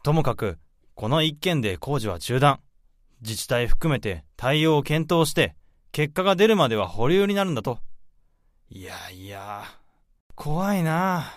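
A man acting a caring senior office worker in a Japanese radio drama, talking in a brisk, informal way. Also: fairly high pitch, big pitch swings, no room sound, clean studio sound. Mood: uneasy. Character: concerned, casual senior male office worker looking after juniors.

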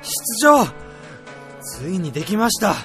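A man playing an eager, excitable researcher who is deferential to his boss, in a comedic radio drama. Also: sits high, slow, faint music behind, heavily acted. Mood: triumphant, frantic. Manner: exclamatory, eager. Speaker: voice actor, also narrator.

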